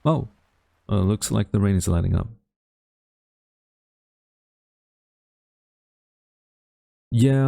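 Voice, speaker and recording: A man's voice: low-pitched, creaky, mellow, no echo, clean studio sound. A man acting a young university student in a romantic audio roleplay, a defensive, secretly smitten rival who grumbles and gets flustered. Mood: weary, reluctant. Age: young adult. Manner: flustered, grumbling, halting denials.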